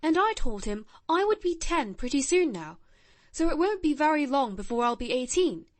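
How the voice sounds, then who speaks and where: A woman's voice: sits high, very animated, moderate pace. Woman doing an earnest, solemn little boy. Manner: grave, blunt, shy childlike earnestness.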